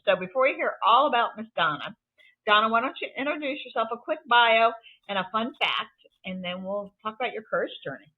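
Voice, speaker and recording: Woman in her sixties, podcast host, husky voice, upbeat chatty delivery, thin phone-line sound. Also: mid-range voice. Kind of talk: conversational podcast interview.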